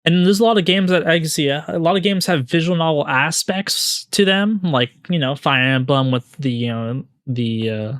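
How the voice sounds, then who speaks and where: A man co-hosting a podcast, explaining things informally in a halting, repetitive way full of filler phrases. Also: on the high side, fairly bright tone, clean tone, no room sound, studio quality. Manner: halting, repetitive, peppered with "you know". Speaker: podcast co-host.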